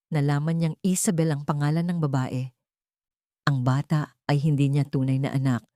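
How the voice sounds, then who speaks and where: Woman narrating a drama, low and soft-toned. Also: no echo, clean studio sound. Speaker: storyteller. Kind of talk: scripted dramatic audiobook narration.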